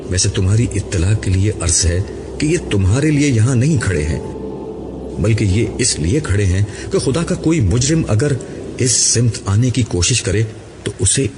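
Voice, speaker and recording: Afterlife guide, male, played by a man narrating: deep, composed, wryly amused, explaining with assurance, noisy recording. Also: close to an everyday voice.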